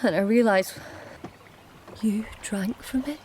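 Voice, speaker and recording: An actress playing a wounded, proud and suspicious young goddess, narrating her own story in an intimate, hushed manner in an audio drama. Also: mid-range voice, slow pace, noisy background, natural voice. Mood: uneasy, shaken. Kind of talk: first-person audio drama narration and dialogue. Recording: music under the voice.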